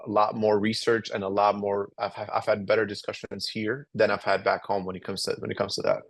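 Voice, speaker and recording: A man's voice: on the low side, flat delivery, a little fast, no echo. A young man from Albania, a podcast guest, answering casually with many fillers and restarts. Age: young adult. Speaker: podcast guest, incoming law student.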